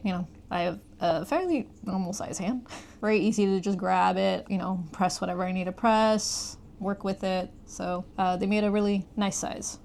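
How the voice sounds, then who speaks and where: A woman's voice: mid-range voice, gravelly. Casual woman walking through a gadget. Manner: explains step by step, conversational. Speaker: product reviewer. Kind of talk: casual hands-on gadget review chat.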